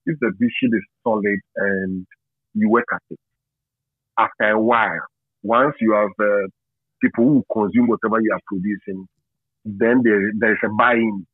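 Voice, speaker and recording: Husky Ghanaian man on a phone-like line. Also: lowish voice, no room sound. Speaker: interview guest, media and marketing professional. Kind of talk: reflective conversational interview answers. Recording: tinny narrow phone-like line.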